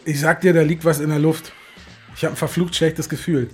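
An actor in a radio drama playing a wary, blunt small-time dealer who talks in slang. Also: male voice, fairly high pitch, flat delivery, fairly quick, lightly acted. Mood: wary, worried.

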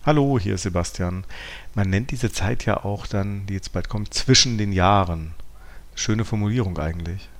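A man's voice: lowish voice, normal speed. A man co-hosting a philosophy podcast in a conversational, explanatory and reflective way. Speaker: podcast co-host.

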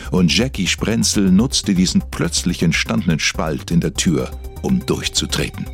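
A man reading a scripted mock-documentary biography in a measured, matter-of-fact way. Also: low-pitched. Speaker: documentary narrator.

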